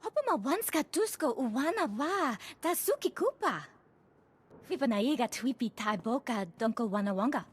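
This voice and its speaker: A woman playing an alien in a video game, speaking an invented tongue with a husky voice. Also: sits high, lively intonation, normal speed. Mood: animated. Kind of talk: video game alien dialogue in gibberish language.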